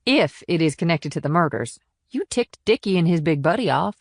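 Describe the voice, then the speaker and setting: A woman's voice: lowish voice, expressive, a little fast, dry recording, clean studio sound. Blunt, tough policewoman, acted by a woman. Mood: probing. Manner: blunt, direct. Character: tough, blunt small-town policewoman, sardonic.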